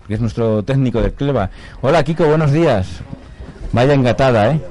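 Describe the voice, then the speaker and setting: A man's voice: mid-range voice, moderate pace, mellow, low-quality recording. A man hosting a radio show, chatty and prompting his guests with tag questions. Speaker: radio host. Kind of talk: radio magazine interview.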